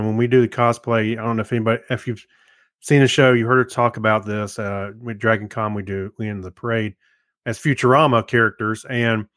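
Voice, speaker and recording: A man's voice: mid-range voice, fairly bright tone, dry recording, studio quality. A man co-hosting a podcast, chatting casually in a laid-back, joking way. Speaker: podcast co-host. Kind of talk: casual chat.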